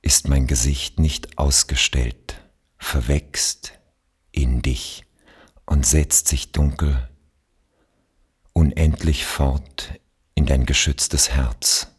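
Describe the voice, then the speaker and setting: Very deep, husky man reciting poetry slowly. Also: fairly even intonation. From Austria. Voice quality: husky, breathy. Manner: measured, with long pauses between phrases. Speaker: speaker reading poetry. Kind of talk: slow literary poetry recitation.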